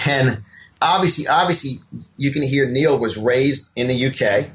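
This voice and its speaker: A man's voice: medium pitch, unhurried, a bit bright, slight background noise, rough recording. Emphatic New York man in his fifties. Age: 50s. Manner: emphatic, preacher-like, repeats words and stammers a little. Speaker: business coach and guest. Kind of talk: motivational interview talk.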